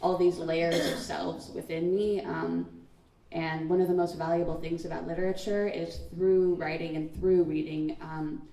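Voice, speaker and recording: A young woman speaks thoughtfully at a school book launch, often pausing with "um" and "like". Her lowish, gravelly voice sounds mellow and sits at a distance from the mic. The hall around her rings with echo. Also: little pitch movement, slight background noise. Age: teens. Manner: thoughtful, many "um" and "like" fillers. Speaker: student writer on a panel. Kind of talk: reflective panel answer. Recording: echoey room, far from mic.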